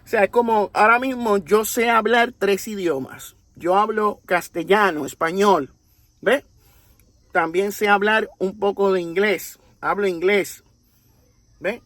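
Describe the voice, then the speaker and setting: Slow-spoken Puerto Rican man, high voice. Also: steady tone, fairly bright tone, dry recording. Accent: Puerto Rican Spanish. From Puerto Rico. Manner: deliberate, teacherly, with rhetorical tags. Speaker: religious commentator. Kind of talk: explanatory monologue.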